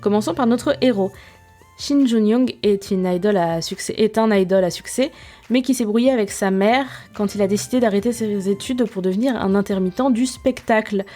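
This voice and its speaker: A woman's voice: medium pitch, a little fast, warm tone, soft background music. A young woman hosting a podcast, reviewing a series in a casual, self-mocking way with many fillers and restarts. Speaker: podcast host.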